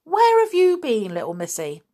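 Woman putting on a blustering, excitable police inspector. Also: mid-range voice, normal speed, gravelly, slightly rough. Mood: startled. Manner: exclamatory, emphatic, folksy. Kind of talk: children's storybook character voice.

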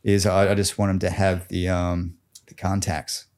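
A man playing a boastful, crude real estate agent of about forty with an American accent, deadpan and halting in an improvised mock interview. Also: sits low, close to an everyday voice. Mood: smug, crude. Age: around 40. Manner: cocky, deadpan, swearing, halting.

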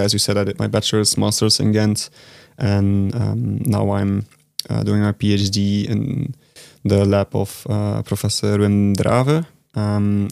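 Low, gravelly young Flemish man. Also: no echo. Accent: Flemish Dutch. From Belgium (Flanders). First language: Dutch. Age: young adult. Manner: low-key, flat, hesitant with fillers. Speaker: guest researcher. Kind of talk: casual interview answers.

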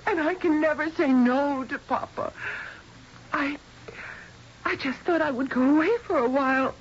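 An actress playing an earnest, pleading yet defiant young daughter in a radio drama, speaking in halting, emotional phrases. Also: female voice, high voice, lightly acted. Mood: frightened, pleading. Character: pleading but defiant twenty-one-year-old daughter. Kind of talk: radio mystery drama acting. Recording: dull, hissy old radio broadcast.

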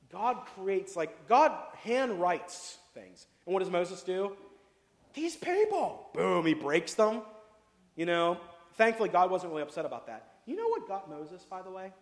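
A pastor preaching a casual sermon, chatty and jokey, full of asides and questions to the congregation. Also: male voice, on the high side. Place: church sanctuary. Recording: echoey hall with long reverb.

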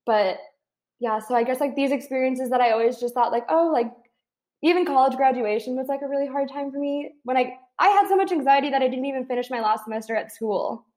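A woman's voice: sits high, quick pace, darkish tone. A woman in her twenties talking candidly in casual chat, with many "like" fillers. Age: 20s.

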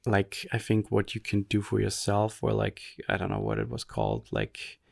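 A man's voice: on the low side, sing-song, normal speed, creaky, dry recording, clean studio sound. A man from Austria co-hosting a podcast, chatting casually in a rambling, self-mocking way. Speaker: podcast co-host. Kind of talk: casual chat.

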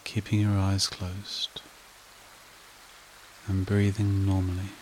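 A man leading a guided meditation and hypnosis in a hushed, soothing, repetitive way with long pauses. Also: low voice, monotone, slight background noise.

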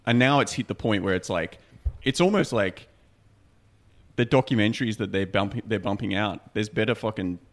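A man's voice: on the low side, normal speed. A man hosting a podcast in casual banter, talking bluntly and swearing freely. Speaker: podcast host.

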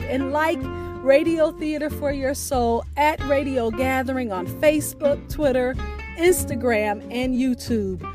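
A woman with a high voice hosts a podcast over music. She speaks slowly and expressively, drawing out her phrases like spoken poetry. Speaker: podcast host. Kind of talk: scripted sign-off narration with spoken poetry.

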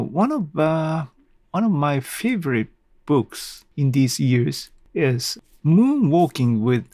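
Male solo podcaster, gravelly and dry-sounding, hesitant off-the-cuff rambling. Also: on the high side, a little mellow, no room sound. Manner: hesitant, rambling, halting with small self-deprecating chuckles. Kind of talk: casual unscripted monologue.

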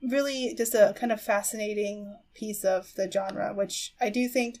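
Female podcast host, mid-pitched, casual conversational delivery, explains thoughtfully with pauses. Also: steady tone, darkish tone. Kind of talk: casual chat about anime.

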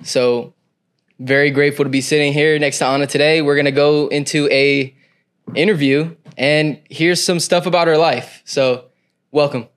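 A man's voice: fairly high pitch, fairly even intonation, moderate pace, clear, resonant tone. A man hosting a podcast, introducing his guest in a loose, casual way with a few fillers. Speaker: podcast host.

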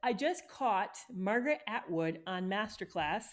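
A woman's voice: mid-range voice, expressive, unhurried. A woman in a casual chat, giving advice on writing and affirming often. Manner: encouraging, gives advice, many affirmations.

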